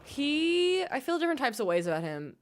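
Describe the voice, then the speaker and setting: A woman streaming a game, chatting fast and casually with her viewers. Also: sits high, very animated. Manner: fast-talking, chatty, bubbly.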